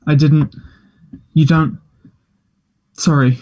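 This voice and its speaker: Man playing a higher-voiced, earnest teenage boy. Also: steady tone, drawn-out words, darkish tone, muffled recording. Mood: shy, hesitant. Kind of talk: play table read, naturalistic dialogue.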